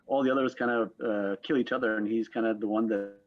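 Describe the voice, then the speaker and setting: A man hosting a panel discussion, speaking in a halting, wordy way and often repeating his phrases. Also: on the low side, steady tone, no room sound. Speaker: panel host. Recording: dull, lo-fi call audio.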